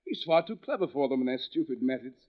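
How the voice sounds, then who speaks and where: An actor playing a courteous, inquisitive and intense doctor in 1940s radio drama style. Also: male voice, medium pitch, animated, quick pace, natural voice. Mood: grave, intense. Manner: formal, inquisitive, earnest. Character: courteous, intense male doctor writing about criminal minds. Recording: dull vintage 1940s radio recording.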